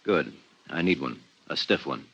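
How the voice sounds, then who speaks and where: A man's voice: very deep voice, expressive, moderate pace, background noise. An actor playing a dry, sardonic policeman husband who asks probing questions in a 1950s radio drama. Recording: muffled 1950s radio recording.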